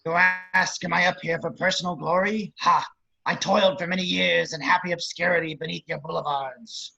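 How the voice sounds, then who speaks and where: A man playing a grotesque, grandiose crime boss posturing as a politician in a script table read, with theatrical bitter speeches and a raspy, gravelly voice. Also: sits high, steady tone, moderate pace, bright, forceful tone, full character voice. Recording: dull lo-fi call audio.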